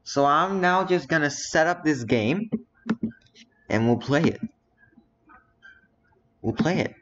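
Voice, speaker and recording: A man's voice: a little high, animated, slow, fairly bright tone. A teenage boy streaming, muttering to himself as he browses and reads titles aloud. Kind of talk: casual live-stream commentary. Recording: dull lo-fi audio with faint noise.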